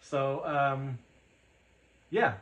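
Homem de quarenta e poucos, voz projetada. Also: male voice, medium pitch, steady tone, drawn-out words, echoey room. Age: 40s. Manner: loud, projecting voice, chuckles often. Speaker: podcast host. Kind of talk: casual chat. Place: living room full of shelves.

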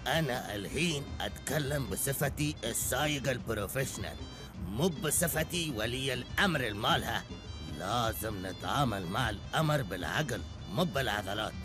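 Male actor as a self-important Emirati cartoon driver, mid-pitch, lecturing and scheming. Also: lively intonation, a little fast, music in the background, natural voice. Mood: smug. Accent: Emirati Arabic. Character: smug scheming middle-aged bus driver. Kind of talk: Gulf Arabic cartoon dub.

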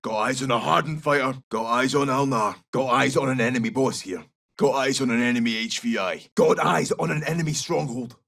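An actor playing a tough, loyal Scottish special forces soldier in a video game, giving short, clipped callouts in a gravelly voice. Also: male voice, mid-range voice, fairly bright tone, distant mic. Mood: brisk, alert. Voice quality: husky, gravelly. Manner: clipped, brisk, urgent.

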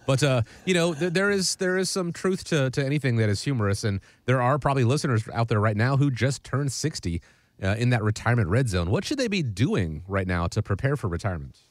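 Fast-talking young male podcast host. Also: medium pitch, sing-song, dry recording. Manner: brisk, promotional. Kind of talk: casual podcast talk with ad reads.

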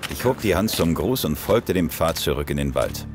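A man playing a cool, defiant police detective in an audio drama, speaking in a dry, deadpan manner. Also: rumbling low, moderate pace, over music. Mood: brooding, observant. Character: cool, defiant male police detective narrating his case. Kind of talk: first-person narration and dialogue in German audio drama.

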